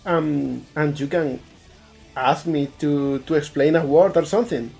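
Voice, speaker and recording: Spanish streamer w andalusian accent, mellow low-key voice, rambles n repeats words, quiet music behind. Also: a little slow, vocal fry. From Spain. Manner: thinks aloud, repeats words while talking. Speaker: game developer streaming his art. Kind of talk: casual live-stream chat while working.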